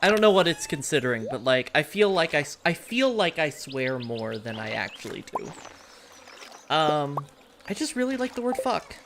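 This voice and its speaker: A woman's voice: sits low, big pitch swings, normal speed, noisy background. A woman streamer hosting a casual chat while gaming, frank and rambling, with casual swearing. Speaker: streamer and host. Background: game music.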